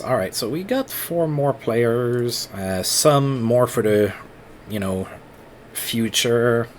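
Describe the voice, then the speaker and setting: A man with a French accent, thinking aloud in halting, casual commentary while playing a management game on his computer. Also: lowish voice, a little hiss or hum. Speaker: let's play host.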